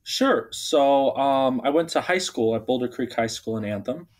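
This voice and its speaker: A young man who was born in Bulgaria, a guest on a radio interview, talking in a relaxed, halting way with many ums. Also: mid-range voice. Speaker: opera singer guest. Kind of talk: casual interview talk. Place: radio studio.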